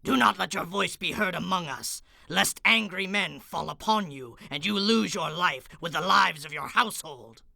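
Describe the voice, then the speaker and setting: Pushy warrior spy in a bible audio drama, sharp gravelly voice, commanding. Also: male voice, high voice, moderate pace, few pauses, no room sound, heavily acted. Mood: threatening. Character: bold, forceful male Danite warrior spy. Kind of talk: Bible radio theatre dramatization.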